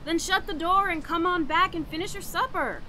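A woman's voice: very high voice, normal speed, heavy background noise. An actress playing a scheming, guilt-ridden murderous woman who confesses and argues in a radio horror drama. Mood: tense, curt.

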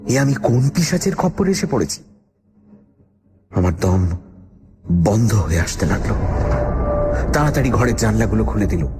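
Uneasy writer, lowish voice, dramatic first-person horror narration, suspenseful, music behind. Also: male voice, big pitch swings, normal speed, pauses often. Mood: fearful, suspenseful. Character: male writer narrating his own haunted-house ordeal, uneasy, defiant.

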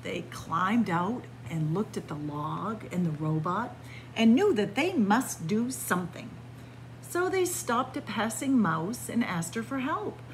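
A woman reading a picture book aloud as a library story time host, expressive and pausing deliberately between phrases. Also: medium pitch, a little hiss or hum, poor audio. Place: indoors in a library, among bookshelves.

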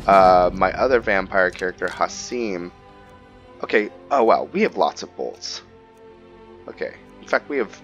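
Male gaming host, deep pitch, casual low-key commentary, thinking aloud, slightly muffled recording, faint game music. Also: fairly bright tone. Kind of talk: casual gameplay commentary with role-play. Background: game music and character voices.

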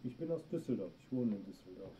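Low-voiced German man streaming a game. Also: animated, fast talker, mellow. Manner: talks to himself while playing, reacts to chat. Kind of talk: let's play commentary. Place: small room indoors. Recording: dull stream audio with noise.

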